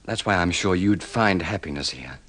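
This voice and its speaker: An actor playing an earnest, courteous, persuasive suitor with gentlemanly polish in an old-time radio drama. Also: male voice, low voice, lively intonation, moderate pace. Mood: hopeful, persuasive. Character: earnest, smooth-talking suitor, self-made man with gentlemanly polish. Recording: vintage radio drama recording.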